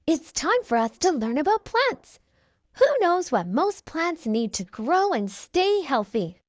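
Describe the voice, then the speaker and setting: Woman playing a kind high-voiced preschool teacher. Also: very animated, a little slow, no room sound. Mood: encouraging. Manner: clear, patient explaining to small children.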